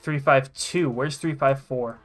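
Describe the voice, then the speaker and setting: Excitable sweary young guy gaming. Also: mid-range voice, a little slow, soft background music. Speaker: gaming channel host. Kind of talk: casual game commentary with banter.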